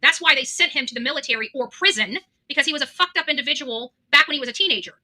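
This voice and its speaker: A woman's voice: high-pitched, very fast, pushed and bright. A woman giving unscripted, blunt and accusatory opinion commentary as a vlog host.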